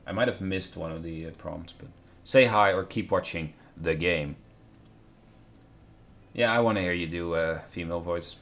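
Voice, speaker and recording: Low-voiced man reading a game aloud. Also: moderate pace, long pauses, fairly bright tone. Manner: wry, interjects remarks on the script. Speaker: game streamer, co-reader. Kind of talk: reading visual novel aloud with joking commentary. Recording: faint noise on a live stream.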